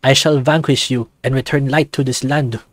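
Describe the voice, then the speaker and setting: A man's voice: medium pitch, flat delivery, moderate pace, close to an everyday voice. A man voicing a solemn lone tiger samurai warrior in a video game within a visual novel, speaking in grave, formal declarations.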